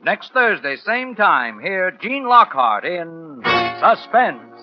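Male 1940s announcer, emphatic and animated, high pitch, music bed, dull vintage radio sound. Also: slow pace. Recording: muffled vintage radio recording.

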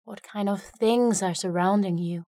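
A woman narrating a guided meditation in a gentle, unhurried way, leaving long pauses between phrases. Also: medium pitch, dry recording, clean studio sound.

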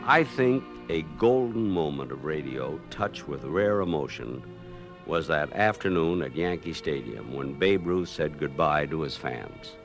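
A man with a deep voice narrates a scripted radio retrospective in a reminiscing way. Soft music plays behind him. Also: expressive, measured pace.

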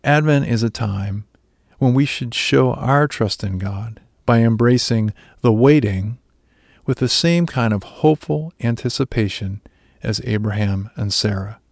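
A man delivering a scripted sermon reflection in a measured, earnest way. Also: mid-range voice, a little mellow, dry recording, clean studio sound. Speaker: devotional speaker.

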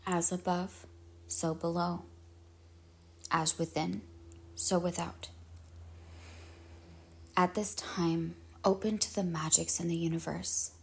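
Woman doing guided meditation, really slow and even, creaky breathy voice, solemn chanting kind of phrasing. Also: lowish voice. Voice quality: husky with fry, trailing into breathiness. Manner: measured, solemn incantatory phrasing with pauses.

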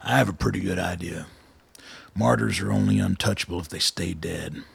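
An actor playing a blunt, shrewd old soldier, a commanding colonel with a gravelly, husky voice in a radio drama. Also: male voice, low voice, normal speed, no echo, full character voice. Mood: firm, pressing. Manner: blunt, commanding, dryly pressing.

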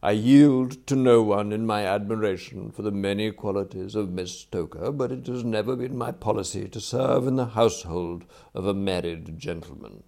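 Give me a man playing an unflappable English valet from a comic storybook, formal and deferential, measured and courteous, in a lowish, slightly gravelly voice. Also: mellow. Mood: deferential, composed. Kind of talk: acted audiobook dialogue.

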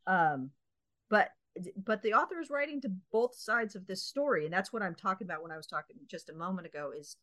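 A woman co-hosting a book podcast, speaking in a measured, opinionated way with thoughtful pauses. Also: medium pitch, lively intonation, fairly bright tone, dry recording. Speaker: podcast co-host. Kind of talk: casual book discussion.

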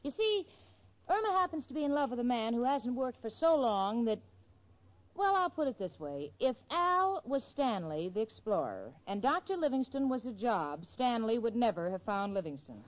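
An actress playing a sensible, wry, protective young roommate in a 1940s radio sitcom. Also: female voice, fairly high pitch, normal speed. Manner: expressive, wisecracking. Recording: dull, noisy vintage 1940s radio.

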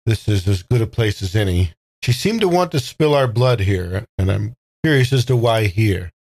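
A man playing a wary, grimly calm older man in a younger body in a horror tabletop game, speaking slowly and deliberately. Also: on the low side, no echo. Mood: grave, calm. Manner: slow, deliberate.

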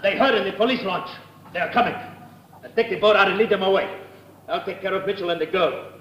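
An actor playing a menacing, gloating smuggler villain, in old-time radio style. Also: male voice, high voice, brisk, fairly bright tone. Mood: commanding. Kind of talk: 1940s-50s radio villain acting. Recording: echoey, faintly noisy vintage radio recording.

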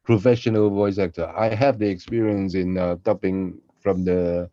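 A man chatting casually as a co-host, with halting, non-native phrasing. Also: low-pitched, steady tone, mellow, no echo, dull audio. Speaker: podcast co-host, amateur voice actor. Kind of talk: casual behind-the-scenes chat.

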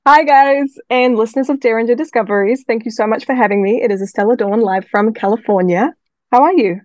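A woman's voice: fairly high pitch, a little fast, a little mellow, no room sound. Upbeat New Zealand woman, laughing. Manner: cheerful, laughs easily, joking. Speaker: guest musician. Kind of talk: casual interview chat.

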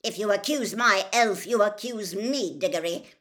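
A woman's voice: medium pitch, moderate pace, fairly bright tone, pitched above the performer's own voice, natural voice. A woman voicing a stern, coldly angry senior male government wizard with a curt, formal, barking delivery in an audiobook. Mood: furious.

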